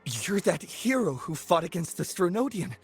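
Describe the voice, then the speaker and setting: A man playing an earnest, eager young adventurer in a video game, halting, breathless and easily flustered. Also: sits high, very animated, husky, soft background music, heavily acted. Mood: grateful, awestruck.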